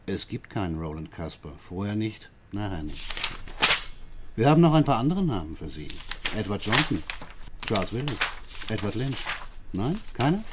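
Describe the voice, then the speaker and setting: An actor playing a sly, patronising police inspector who questions a prisoner with drawn-out, needling questions in a radio drama. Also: male voice, on the low side, heavy background noise. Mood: probing, smug.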